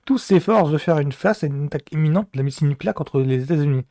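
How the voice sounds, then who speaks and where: A man giving a casual solo reaction to a film trailer, thinking aloud with many pauses and restarts. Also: on the high side, mellow.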